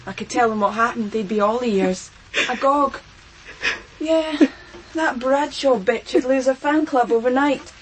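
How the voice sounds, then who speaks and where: A woman's voice: fairly high pitch, measured pace, a little hiss or hum, lightly acted, rough recording. An actress playing a defiant, spiteful teenage schoolgirl, mocking and taunting in a radio drama. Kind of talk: broadcast radio drama acting.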